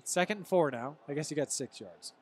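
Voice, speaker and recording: A man with a somewhat high voice hosts a live sports stream from a studio mic. He calls the plays between rounds of teasing, argumentative banter with his co-host. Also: animated, fairly quick, darkish tone. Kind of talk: casual sports chat with play-by-play.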